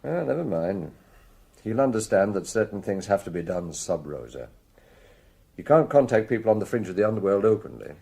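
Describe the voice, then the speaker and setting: Casting: wry, probing middle-aged detective, British accent, husky, very animated delivery, radio drama. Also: male voice, fairly low pitch, heavily acted. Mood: wry, reassuring. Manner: measured, probing, quietly wry. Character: shrewd, avuncular French police detective, middle-aged man.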